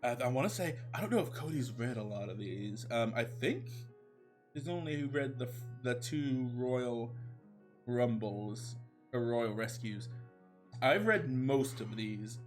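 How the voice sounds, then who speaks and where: A man's voice: medium pitch, animated, measured pace, soft background music. A man livestreaming a comic story reading, chatting casually with his viewers in an irreverent, sweary manner. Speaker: streamer, fan fiction reader.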